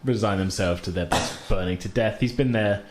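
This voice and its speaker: A man's voice: fairly low pitch, a little fast. A man narrating a tabletop game as game master in a casual, improvised, hesitant conversational way. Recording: roomy echo, faint background noise.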